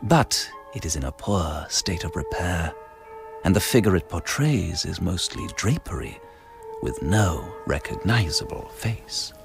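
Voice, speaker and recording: An actor playing a solemn, bookish narrator who reads slowly and deliberately in a radio drama. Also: male voice, sits low, very animated, gravelly, over music, full character voice. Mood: solemn, measured. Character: solemn male narrator reading an old church description. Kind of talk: slow scripted narration of a ghostly tale.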